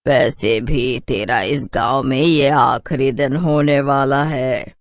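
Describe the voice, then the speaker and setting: A woman's voice: extremely low pitch, fairly even intonation, slow pace, full character voice. A woman voicing a haughty, spoiled, taunting city witch in a cartoon horror story. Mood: mocking. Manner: taunting, dismissive. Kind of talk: animated horror story dialogue.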